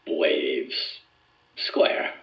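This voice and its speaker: An actor playing a formal, earnest male research subject in an audio drama, with measured, deliberate wording. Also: a bass voice, steady tone, creaky, full character voice. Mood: measured, reserved. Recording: echoey room, muffled, music behind.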